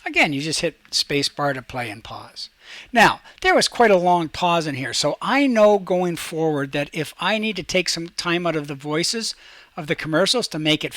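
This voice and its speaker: A man with a fairly high voice leads a step-by-step software lesson. He explains each action aloud as he does it, in an instructional manner, and the sound is dry and close. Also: expressive, moderate pace, no room sound. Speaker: teacher and tutorial host. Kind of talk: screen-recorded software tutorial, step-by-step instruction.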